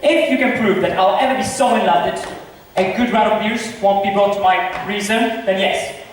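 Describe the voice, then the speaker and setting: A man's voice: sits high, steady tone, moderate pace, lightly acted. A man acting a witty, scornful confirmed bachelor in an amateur stage comedy, with a theatrical, declaiming manner. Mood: defiant. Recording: echoey hall, distant mic, noisy.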